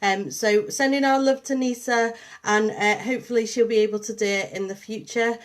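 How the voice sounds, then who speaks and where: A young woman with a Liverpool and St Helens accent, softened by years in Yorkshire, talks through a casual livestream. Her voice sits a little high, and she chats to viewers as she goes, with plenty of ums and uhs. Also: steady tone, normal speed. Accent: Liverpool and St Helens with a touch of Yorkshire. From Liverpool, England. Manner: chatty, warm greetings to viewers, many ums. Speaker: artist and programme coordinator hosting a livestream.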